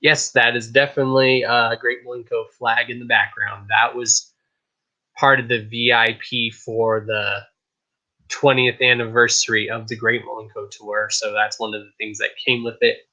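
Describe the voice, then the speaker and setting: A man's voice: mid-range voice, flat delivery, normal speed, bright, forceful tone, rough recording. Hyped casual man hosting a live stream. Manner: hyped, rambling, repeats "I kid you not". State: slightly raspy voice. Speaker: host of a live streamed talk show.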